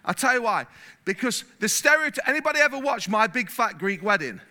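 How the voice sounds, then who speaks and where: Brief: male pastor from England, high-pitched and sharp, lively delivery that swells louder. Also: brisk. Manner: animated, gets louder when stirred, repeats words. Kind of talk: sermon. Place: church hall.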